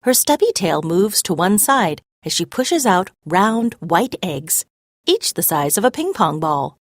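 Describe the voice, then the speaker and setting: A woman narrating a children's story aloud in an expressive, measured way. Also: fairly low pitch, dry recording, clean studio sound.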